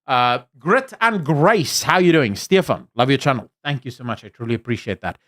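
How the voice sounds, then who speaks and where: A young adult man from South Africa whose Afrikaans accent has been smoothed by American and British influences. He hosts a casual live stream, reading chat messages aloud. His opinions are emphatic, with frequent fillers and restarts. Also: mid-range voice, animated, normal speed, a bit bright. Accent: Afrikaans South African, mixed with American and British. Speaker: livestream host reading chat.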